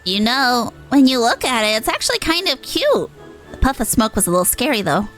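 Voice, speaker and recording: A woman's voice: a little high, big pitch swings, fairly quick, fairly bright tone, soft background music, low-quality recording. A woman voicing a male monster clown from a video game, with theatrical, old-fashioned courtly phrasing. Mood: musing. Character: male monster clown, gruff-named, theatrical, eager to scare.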